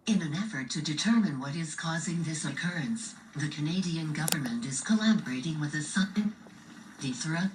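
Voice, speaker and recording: A woman reading a formal scripted emergency alert in a measured, impersonal announcer manner. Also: deep voice, lively intonation, reverberant, slight background noise, low-quality recording.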